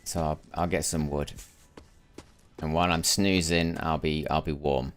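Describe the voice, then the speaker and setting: Tired man, very deep voice, casual game-stream chatter that repeats and restarts words. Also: fairly even intonation, unhurried, slight background noise. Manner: chatty, muses aloud, often repeats words while restarting. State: tired after a sleepless night, voice lower than usual. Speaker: gaming streamer. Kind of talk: casual live gameplay commentary.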